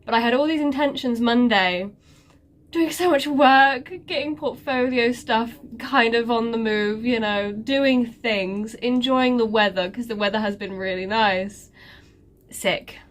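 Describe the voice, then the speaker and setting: Woman vlogger, slow and congested, sarcastic and rambling in a casual update. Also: a little high. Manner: sarcastic, self-mocking, rambling with many fillers. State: congested, stuffy nose. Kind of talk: casual life-update chat.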